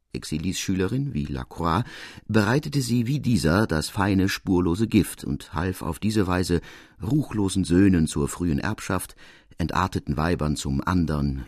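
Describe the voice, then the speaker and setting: A man reading a classic literary tale as narrator, in measured, formal storytelling prose. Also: low-pitched, darkish tone, no room sound, studio quality.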